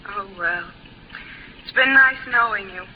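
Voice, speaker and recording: An actress playing a cool, calm imaginary woman companion in a 1950s radio drama, speaking gently and with measure. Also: mid-range voice, a little slow, natural voice. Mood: gently wounded. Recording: noisy muffled 1950s radio recording.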